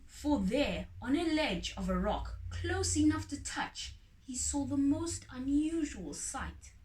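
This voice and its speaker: A woman reads a children's story aloud with dramatic emphasis. Her fairly high voice rises and falls widely. She sounds a little way from the microphone, in a roomy, echoing space. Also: very animated, slow, darkish tone. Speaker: storybook reader. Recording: roomy echo, distant mic, faint noise.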